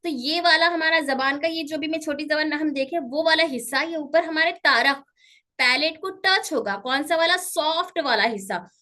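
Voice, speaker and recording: A woman's voice: sits high, normal speed, bright, forceful tone. A woman teaching a pronunciation class online, patiently repeating each term and checking that her students follow.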